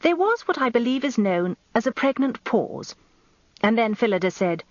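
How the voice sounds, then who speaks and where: An actress playing a genteel, self-important middle-aged English wife, narrating a radio drama in an arch, confiding, expressive way. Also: female voice, on the high side, normal speed, no echo, dull audio.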